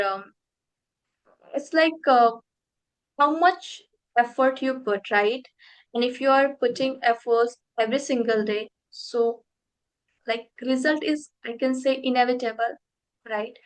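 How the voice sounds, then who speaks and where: Chatty Indian woman, slightly high voice. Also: rough recording. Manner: chatty, thinks aloud with many fillers. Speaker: host. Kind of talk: casual conversation practice.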